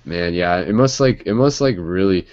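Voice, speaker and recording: Host: low-pitched man, loose rambling chat, dry muffled lo-fi sound. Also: animated, moderate pace, darkish tone, dry recording. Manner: rambling, many fillers. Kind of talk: casual chat. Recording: dry dull lo-fi audio.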